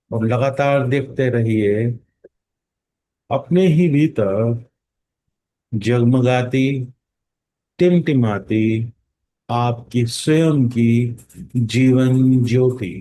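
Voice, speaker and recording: A man guides a meditation very slowly in a soft-edged, mellow voice. He gives calm instructions, stretching words out and leaving long pauses between phrases. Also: medium pitch. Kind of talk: guided meditation in Hindi mixed with English phrases.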